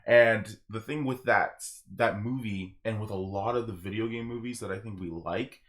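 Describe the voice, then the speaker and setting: Male podcast host, fairly low voice, informal and conversational, guides the discussion. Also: normal speed, fairly bright tone. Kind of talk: casual chat.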